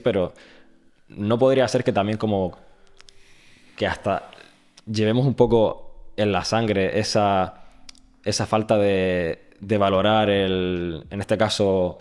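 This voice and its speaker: A man hosting an interview podcast, posing his questions in a measured, tentative way. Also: on the low side, fairly even intonation, a little mellow, clean tone. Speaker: podcast host. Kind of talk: interview conversation.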